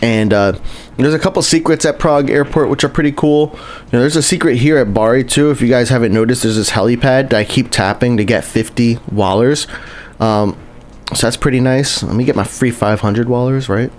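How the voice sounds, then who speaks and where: A man's voice: medium pitch, brisk, slight background noise. Chatty male game streamer, rambling. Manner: rambling and chatty, lots of restarts, greets chat viewers. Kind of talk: casual live-stream commentary while playing.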